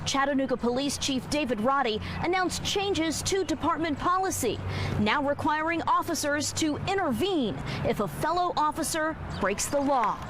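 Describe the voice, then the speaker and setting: A woman's voice: high-pitched, noisy background. A woman working as a TV news reporter, reading scripted narration for a report. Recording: music behind the voice. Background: music bed.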